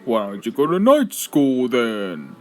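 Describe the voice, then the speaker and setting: A man's voice: medium pitch, huge pitch swings, slow pace. A man voicing a lazy, grumbling gorilla musician in a cartoonish fan dub of an animatronic show.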